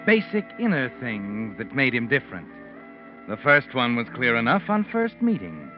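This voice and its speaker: Sinister old-time radio mystery narrator, swooping delivery. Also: male voice, medium pitch, wildly swooping pitch, moderate pace. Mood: sly, knowing. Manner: ominous, theatrical, knowing. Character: sinister all-knowing male night-walking mystery narrator. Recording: dull old radio recording, organ music.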